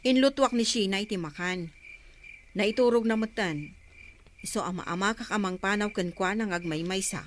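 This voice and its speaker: A woman's voice: medium pitch, moderate pace, lightly acted. A woman playing a concerned, exasperated adult daughter confronting her mother in an audio drama. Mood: reproachful, worried.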